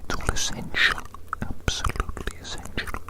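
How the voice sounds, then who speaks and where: A person whispering softly in an ASMR roleplay, gently reassuring and slowly repeating phrases. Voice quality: soft unvoiced whisper. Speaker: ASMR roleplayer measuring the listener.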